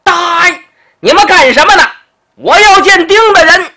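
A storyteller voicing a brash noble man, the emperor's brother, who talks loudly and bossily in drawn-out questions. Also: very high voice, very animated, voice pushed up, full character voice. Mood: haughty, bossy. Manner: loud, bossy, drawn-out questions.

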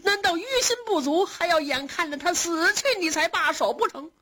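A woman voicing a grieving elderly matriarch in a storybook reading, sharp with scorn and swinging widely in pitch as she scolds. The voice is slightly husky and raised above the narration. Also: very animated, measured pace. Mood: scornful. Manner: indignant, scornful, tearful.

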